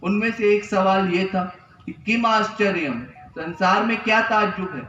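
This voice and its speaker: A man's voice: sits high, moderate pace, bright, forceful tone, slight background noise. A young man giving an earnest spiritual discourse, teaching through repetition and rhetorical questions. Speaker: spiritual preacher. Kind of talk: Hindi religious discourse. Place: temple hall. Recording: echoey, distant, dull lo-fi sound.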